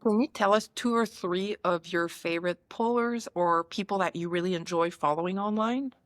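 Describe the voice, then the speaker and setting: A young woman with a French Canadian accent, hosting a podcast and asking quick, upbeat questions. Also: mid-range voice, no room sound, clean studio sound. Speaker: podcast host. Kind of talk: quick-fire interview questions.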